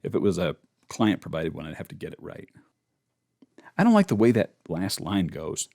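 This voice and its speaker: A man's voice: low-pitched, wildly swooping pitch, moderate pace, darkish tone. A man talking casually and informally as he walks through a voice-over tutorial. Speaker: voice actor giving a tutorial. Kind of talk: casual instructional chat.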